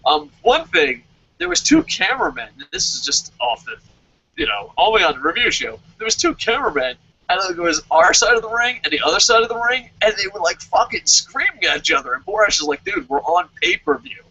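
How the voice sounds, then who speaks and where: Host type: excitable man, casual talk, higher bright voice, muffled audio. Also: brisk, vocal fry, slightly rough. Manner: excitable, jumps into loud animated quotes, stammers at times. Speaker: wrestling review host. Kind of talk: casual podcast chat. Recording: dull lo-fi audio.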